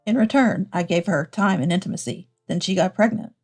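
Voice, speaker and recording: A sheepish husband, played by a woman narrator, makes evasive excuses in a story drama. The voice is soft and mellow, with faint music behind it. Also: lowish voice, expressive, fairly quick, breathy edge, voice pushed down. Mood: sheepish, stubborn. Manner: evasive, self-justifying.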